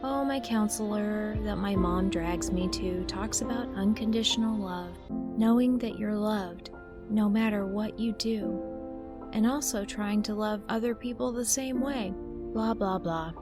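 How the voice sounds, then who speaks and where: A woman's voice: medium pitch, a little slow, music in the background, natural voice. An actress playing a guarded, troubled teenage girl who talks in a wry, dismissive way. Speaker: daughter.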